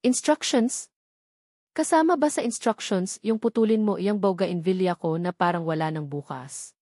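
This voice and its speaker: A woman's voice: medium pitch, normal speed, a little mellow, no echo, lightly acted. A territorial, suspicious lady of the house, as a woman narrator puts her on in a romance audio story. She stresses her words with haughty sarcasm and indignation. The recording is dry and close. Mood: annoyed, emphatic. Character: haughty, territorial older single woman, suspicious garden owner.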